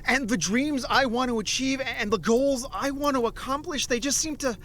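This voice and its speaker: A man playing an awkward, frustrated office worker in his late twenties in an audio drama, with halting, stammering speech. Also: extremely high pitch, sharp tone, a little hiss or hum. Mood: weary, downcast. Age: late 20s.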